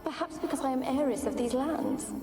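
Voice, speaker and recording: A woman's voice: sits high, brisk, natural voice. An actress playing the ghost of a sorrowful young noblewoman in a video game, pleading and evasive, with archaic, plaintive phrasing. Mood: solemn, pleading. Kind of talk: dramatic video game acting. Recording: echoing, distant ghostly voice over music.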